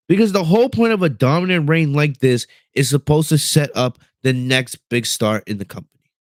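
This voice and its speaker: A man's voice: medium pitch, dry recording. A young man from the United States hosting a wrestling podcast from his bedroom, talking in a casual, rambling way with lots of fillers and restarts while he reads the live chat. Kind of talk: casual livestream commentary. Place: bedroom at home.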